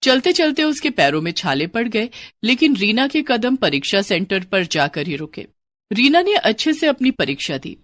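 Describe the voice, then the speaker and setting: A woman narrating scripted moral stories in an expressive storytelling style. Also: on the high side, normal speed, no room sound.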